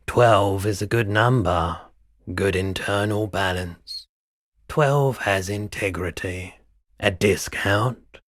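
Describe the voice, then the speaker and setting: An oddly philosophical male pawnshop keeper for a video game. His voice sits on the low side and he takes his time, stretching phrases out in a deadpan, laid-back way. The sound is dry, with no room around it. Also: dry recording. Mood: deadpan, mellow haggling. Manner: deadpan, unhurried, drawn-out phrasing. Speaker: shopkeeper character. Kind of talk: video game character dialogue.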